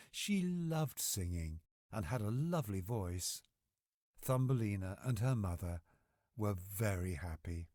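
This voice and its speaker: Deep, slow male storyteller with lively intonation. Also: no room sound, clean studio sound. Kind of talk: scripted bedtime story narration for children.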